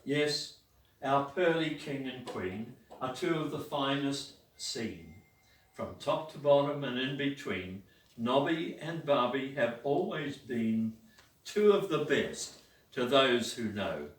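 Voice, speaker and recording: Slow-spoken man reciting a tribute poem. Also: mid-range voice, lively intonation. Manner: hesitant with fillers when speaking, measured when reciting. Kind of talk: reading a rhymed tribute poem at a party. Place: party in a roomy hall. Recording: echoey room from far off, faint background noise.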